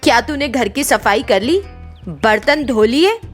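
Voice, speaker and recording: A woman's voice: on the high side, expressive, unhurried, soft background music. A woman voicing a domineering, wicked middle-aged mother-in-law who speaks in a curt, accusing, commanding way. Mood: harsh, commanding.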